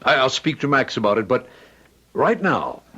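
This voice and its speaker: A man's voice: mid-range voice, sing-song, moderate pace, pushed and bright, breathy edge, dull audio, exaggerated voice. An actor in an old radio drama playing a middle-aged ballet man, emphatic and theatrical, short-tempered yet protective. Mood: soothing, paternal.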